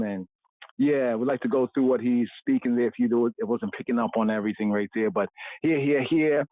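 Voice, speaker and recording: A man's voice: mid-range voice, no room sound. A man giving an informal religious teaching talk, rambling and repeating phrases, dropping Hebrew terms into his speech. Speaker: religious commentator. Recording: tinny phone-like, dry.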